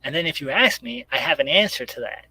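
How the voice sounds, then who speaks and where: A man's voice: medium pitch, expressive, fast, bright, forceful tone, breathy edge. A man speaking casually as an interviewed writer, explaining step by step and acting out imagined remarks as he goes. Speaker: guest comic book writer.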